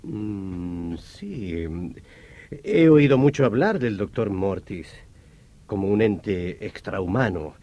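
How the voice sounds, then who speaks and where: Radio drama inspector, thirties: skeptical but courteous, unhurried delivery with lively, expressive intonation. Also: male voice, fairly low pitch. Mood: skeptical, pensive. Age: 30s. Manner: measured, questioning.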